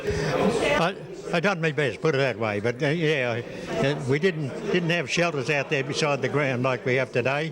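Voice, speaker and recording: An elderly Australian man reminiscing in an interview, speaking haltingly and repeating words as he goes. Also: a little high, expressive. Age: older, 70s or above. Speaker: former football coach being interviewed. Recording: outdoors amid loud crowd chatter.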